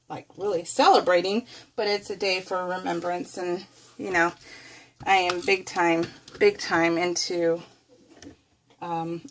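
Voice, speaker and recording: A middle-aged woman with an American accent chatting casually and in a rambling, exclamatory way, as a craft vlogger does while working. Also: fairly high pitch. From United States.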